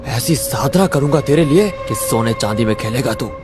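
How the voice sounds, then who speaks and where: A man's voice: mid-range voice, expressive, moderate pace, close to an everyday voice. A man playing a boastful, menacing occult ascetic in a horror cartoon story, theatrically repeating key words with relish. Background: loud background music.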